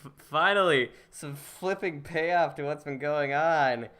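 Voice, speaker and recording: A man hosting a casual podcast interview, talking in a rambling, halting way and often repeating his words. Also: on the high side, a bit bright. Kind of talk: casual chat.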